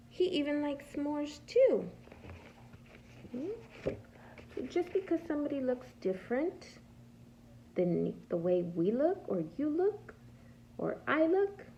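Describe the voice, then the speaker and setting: A woman reading a picture book aloud as a preschool teacher, pausing to ask small children simple questions and patiently explaining the answers. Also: on the high side, vocal fry, muffled recording, slight background noise. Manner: animated, asks simple questions and answers them herself.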